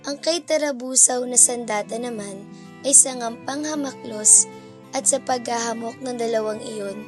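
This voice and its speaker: A woman with a fairly high voice narrates an epic poem in a scripted radio drama style. Soft music plays behind her. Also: normal speed. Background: faint music.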